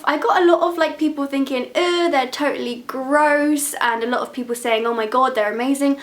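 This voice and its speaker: Young woman vlogging to camera, chatty and frank, slightly high voice, nasal from a cold. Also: brisk. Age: 20s. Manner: chatty, frank, laughs often. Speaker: beauty vlogger. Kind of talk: casual talk to camera.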